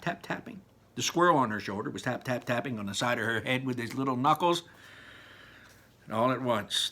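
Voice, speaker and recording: A man reading a children's book aloud in an animated way, dropping to whispers for effect. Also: mid-range voice. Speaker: read-aloud narrator.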